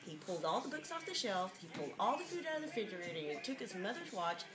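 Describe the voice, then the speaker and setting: A woman's voice: medium pitch, big pitch swings, very fast, over music. A woman giving a mock-dramatic reading of a children's picture book, with jokey, sardonic, giggly asides, on an amateur home video.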